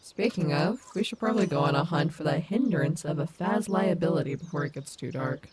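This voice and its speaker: A woman's voice: deep voice, a little fast, noisy background, close to an everyday voice. A woman playing a cocky but caring animatronic wolf rock star in an ASMR audio roleplay, teasing and affectionate. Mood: alert, reassuring.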